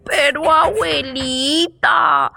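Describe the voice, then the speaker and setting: A woman playing a cheeky, hungry six-year-old girl in a radio play, pleading in drawn-out words. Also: high-pitched, steady tone, creaky, bright, forceful tone, slight background noise, full character voice. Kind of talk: radio theatre acting.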